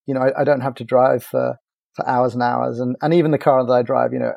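A middle-aged man, chatting as a podcast guest in a relaxed, halting way full of restarts and fillers. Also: medium pitch, vocal fry, no echo, highs cut off. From Ireland. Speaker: podcast guest, agency founder. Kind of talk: casual interview chat.

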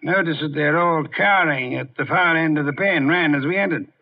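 A pompous, irritable great detective, with a fairly high voice that creaks and growls. He speaks deliberately and theatrically, as in 1950s radio drama. The recording is dull and old. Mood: musing. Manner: theatrical, deliberate, haughty, grunts and chuckles. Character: pompous, brilliant, irritable male private detective. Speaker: lead actor in detective drama.

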